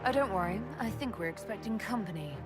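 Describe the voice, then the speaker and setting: A woman plays a composed female leader in a fantasy game. She speaks with earnest resolve at a medium pitch. Game music is clearly heard behind her. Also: animated, fast talker, close to an everyday voice. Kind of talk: video game cutscene acting.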